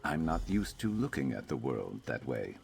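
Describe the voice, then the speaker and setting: A man voicing a weary, guarded reptilian warrior and former slave in a video game, speaking in a measured, plainspoken way. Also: very animated, soft background music, full character voice. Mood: resolved, wry. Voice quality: very deep, gravelly. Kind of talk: video game character dialogue.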